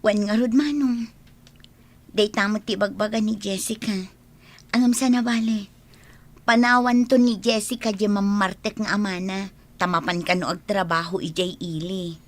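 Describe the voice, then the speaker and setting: A woman's voice: medium pitch, steady tone, moderate pace, lightly acted. An actress playing a friendly young village woman in a radio drama, with an Ilocano accent. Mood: concerned. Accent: Ilocano, northern Philippines.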